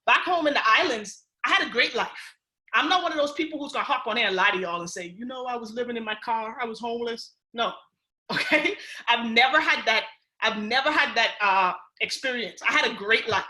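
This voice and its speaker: A Bahamian woman with a high, bright voice and a Bahamian accent delivers an animated motivational pitch. She talks to listeners as "y'all", keeping it casual and real. The sound carries a clear echo from the room. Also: fairly quick. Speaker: speaker on a recruiting call. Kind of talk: motivational pitch on a conference call.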